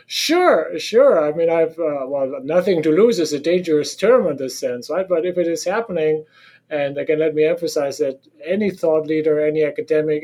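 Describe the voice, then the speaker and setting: German-accented male academic guest, mellow tone, opinionated and quick to laugh. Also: fairly high pitch. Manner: laughs often, direct and opinionated. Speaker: professor of operations management. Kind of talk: podcast interview guest.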